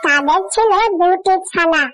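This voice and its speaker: A woman's voice: very high-pitched, unhurried, background noise. A woman reading a scripted fairy tale for children as the storyteller.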